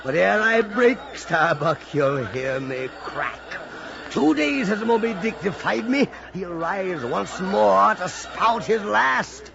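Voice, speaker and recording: A slow-paced, gravelly old whaling captain in a vintage radio play. He is defiant and obsessed, declaiming grandly and commandingly. The recording is dull and noisy. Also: high voice, lively intonation, crowd noise, heavily acted. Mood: grim defiant. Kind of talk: old-time radio drama acting.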